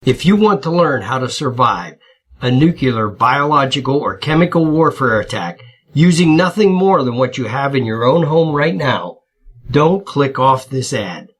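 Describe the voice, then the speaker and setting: A man's voice: medium pitch, expressive, normal speed, breathy edge. A man delivering a scripted advertisement as a survival instructor. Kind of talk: scripted ad read.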